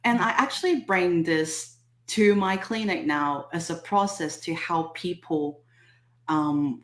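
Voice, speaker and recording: Slow-speaking Hong Kong woman, echoey. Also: low-pitched. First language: Cantonese. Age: 30s. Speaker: guest, subconscious healing specialist. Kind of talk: personal story in an interview.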